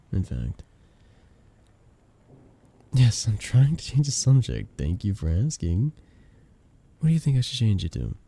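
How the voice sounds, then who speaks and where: A young man acting a flustered best friend with a hidden crush in an intimate ASMR romance roleplay, soft-spoken, casual and hesitant. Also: low voice, creaky, breathy edge, heavily acted. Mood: teasing, reluctant.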